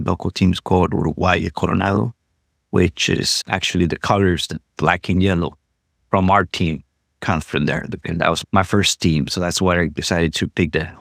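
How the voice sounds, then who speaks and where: Deep-voiced man. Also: vocal fry, no echo, clean studio sound. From Costa Rica. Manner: unhurried, with small restarts. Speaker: youth soccer coach. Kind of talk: casual interview storytelling.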